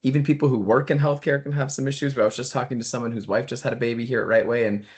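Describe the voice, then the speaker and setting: A man's voice: medium pitch, steady tone, racing. A man giving a friendly, chatty company overview on a webinar, restarting sentences often. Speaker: sales executive. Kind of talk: business webinar presentation. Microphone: video call.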